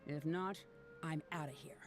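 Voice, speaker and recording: A woman plays a proud, irritable young game character. Her voice sits on the low side and she is blunt and dismissive, with quiet music underneath. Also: fairly even intonation, normal speed, natural voice. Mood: curt, fed up. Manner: curt, dismissive. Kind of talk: anime game voice acting.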